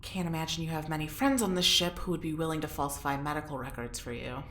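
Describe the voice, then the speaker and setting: An actress playing an anxious, sharp-tongued young woman in a sci-fi audio drama, speaking bluntly and informally. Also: low voice, fast. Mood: probing. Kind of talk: audio drama acting, naturalistic dialogue. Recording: roomy echo, faint background noise.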